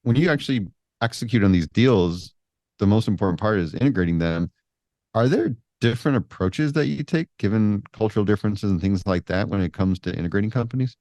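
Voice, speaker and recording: A man hosting a podcast interview, relaxed and joking in a casual way. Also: fairly low pitch, brisk, no room sound. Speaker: podcast host.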